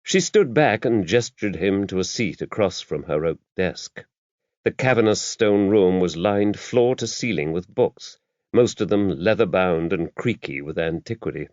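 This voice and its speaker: Male audiobook narrator, lowish voice, varied intonation, dry close sound. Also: expressive, measured pace, a little mellow, no echo, lo-fi sound. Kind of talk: scripted narration of a mystery novel.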